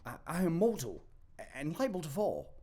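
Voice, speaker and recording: A woman playing a shaken old miser in an audio play, speaking in a halting, muttering way. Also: low voice, huge pitch swings, mellow, full character voice. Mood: fearful, halting.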